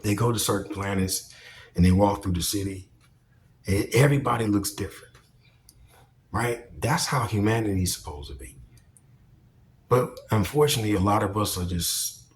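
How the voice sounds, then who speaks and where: A man's voice: fairly low pitch, normal speed, long pauses, a little hiss or hum. A man giving casual opinion commentary as a vlogger, speaking bluntly and emphatically with plenty of swearing.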